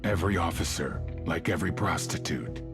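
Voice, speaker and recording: An actor playing a brooding, ruthless drug lord, narrating gravely and deliberately in a video game. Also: male voice, deep voice, animated, over music. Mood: cold, assured. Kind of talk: dramatic scripted game narration.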